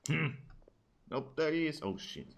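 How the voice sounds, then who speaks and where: A man's voice: a little high, expressive, measured pace, vocal fry. A man streaming a story game, giving casual live reactions in muttered, sweary asides.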